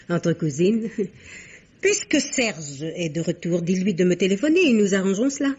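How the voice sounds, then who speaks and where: A wealthy, scheming woman with a husky voice who talks in a sweet, condescending way. The recording is dull and old, like an archived radio play. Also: on the low side, big pitch swings, normal speed, a little mellow. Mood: sweetly scheming. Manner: sweetly condescending, smooth-talking. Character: rich, scheming female antique dealer.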